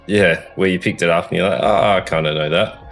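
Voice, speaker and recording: Deep, gravelly laid-back man. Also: steady tone, fairly quick, a bit bright, soft background music. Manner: laid-back, short replies, reads out figures. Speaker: podcast host. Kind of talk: casual movie review chat.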